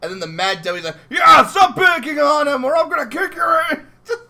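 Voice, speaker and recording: A man chatting casually as an interviewer, with an excitable, exclamatory way of talking. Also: extremely high pitch, a little fast, gravelly, shouting. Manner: excitable, exclamatory, swearing emphatically. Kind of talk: casual fan interview chat.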